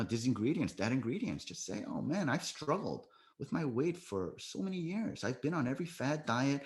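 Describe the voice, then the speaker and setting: An American man from the United States giving a sales training talk on a video call, coaching listeners and often checking in with "right?". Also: mid-range voice.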